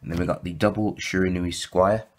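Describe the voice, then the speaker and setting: Man, very low voice, slightly croaky, casual, rambling, self-correcting. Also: fairly even intonation, a little fast, a little mellow. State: slightly croaky from sore throat. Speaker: YouTube deck profile host. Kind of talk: casual explanatory walkthrough.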